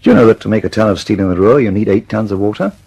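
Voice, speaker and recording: Husky, stubborn British inventor. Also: male voice, fairly low pitch, rapid-fire, a little mellow. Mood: intense, resolute. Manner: emphatic, precise, insistent. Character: earnest, stubborn, impatient male British inventor. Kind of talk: radio drama acting.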